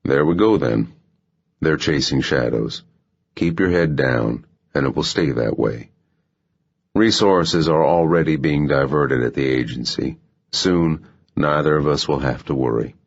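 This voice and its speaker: World-weary spy boss for a thriller audiobook, played by a male reader: very deep, husky, frank and wry. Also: measured pace, exaggerated voice. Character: blunt, world-weary male American intelligence official. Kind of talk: audiobook character dialogue. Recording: muffled, dull audio.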